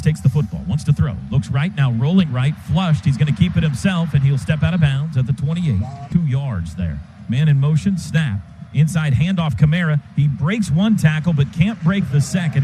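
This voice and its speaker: High-pitched American man calling football on radio. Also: moderate pace, a little hiss or hum. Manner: clipped phrases, excited calls. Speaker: radio play-by-play announcer.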